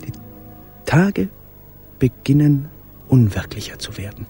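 An actor in a radio drama monologue playing a despairing, yearning man, speaking in measured, literary and intense phrases. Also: mid-range voice, big pitch swings, mellow, soft background music. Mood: anguished. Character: despairing man in hiding, yearning for a lost companion.

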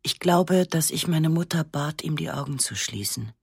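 A woman reading a literary first-person story in a sober, measured, matter-of-fact way. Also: deep voice, lively intonation, gravelly, a little mellow, husky, no echo, clean studio sound. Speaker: narrator.

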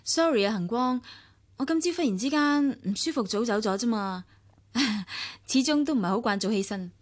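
An actress as a self-doubting mother, high voice, reverberant. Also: lively intonation, normal speed, lightly acted. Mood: evasive. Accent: Hong Kong. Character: proud, self-doubting mother narrating her own story. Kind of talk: radio drama narration and dialogue.

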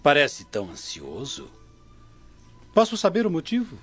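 A man's voice: a little high, huge pitch swings, a little slow, breathy edge, full character voice. A man playing a powerful, inquisitive Roman prefect in a radio drama, with an unctuous, theatrical manner. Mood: probing. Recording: muffled radio drama with background music.

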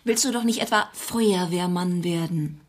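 A woman's voice: fairly low pitch, a little slow. A woman playing an exacting, frazzled mother in a children's radio play, sounding exasperated.

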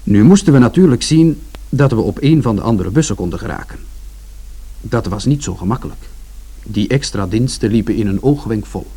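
An actor playing an observant son who narrates a family journey in a measured, descriptive way for a radio drama. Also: male voice, on the low side, warm tone, husky.

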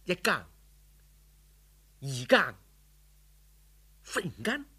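A man's voice: fairly high pitch, huge pitch swings, drawn-out words, pushed and bright, husky. A man with a Cantonese accent narrating a folk tale as a dramatic storyteller.

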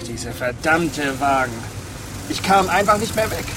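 A man's voice: on the high side, expressive, normal speed, loud music behind the voice, close to an everyday voice. A man playing an aggrieved, harried culprit in a radio play, complaining and put-upon. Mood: bitter, resigned. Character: aggrieved, harried male culprit confessing bitterly. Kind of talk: German-language radio play acting.

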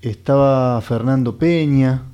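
Un actor como hombre pausado y titubeante. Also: male voice, medium pitch, warm tone, smooth, muffled recording, lightly acted. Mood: musing, nostalgic. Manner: hesitant, trailing off. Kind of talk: naturalistic radio play dialogue.

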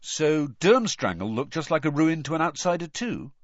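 Male reader as exasperated schoolboy for audiobook: blunt, grumbling, high and very animated. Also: moderate pace, no room sound, lo-fi sound. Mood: sceptical. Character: grumpy, blunt teenage schoolboy, easily exasperated.

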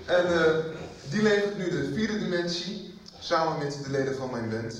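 An actor playing a cocky, flippant young musician in a Dutch radio soap. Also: male voice, on the high side, animated, moderate pace, slight background noise, natural voice. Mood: showy, joking. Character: cocky young man, musician son of an artist mother. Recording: echoey hall, distant, with crowd.

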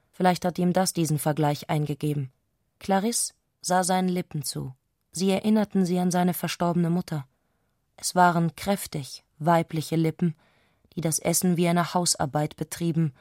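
A woman reading literary scripted narration as a radio drama narrator, measured and composed. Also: fairly low pitch, a little mellow, no room sound, studio quality.

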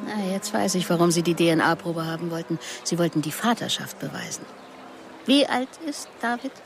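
A woman's voice: on the low side, animated, moderate pace, gravelly, faint music behind. An actress playing a dogged, skeptical female police investigator in a radio drama, questioning in a probing, pointed way.

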